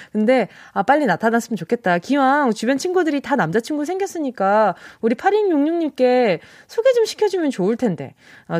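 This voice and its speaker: Young woman, radio DJ, high voice, very animated, dry studio sound. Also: dry recording. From Busan, South Korea. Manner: bubbly, laughs often, acts out listeners' messages. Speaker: radio DJ host. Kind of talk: casual radio chat reading listener texts. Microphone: studio microphone.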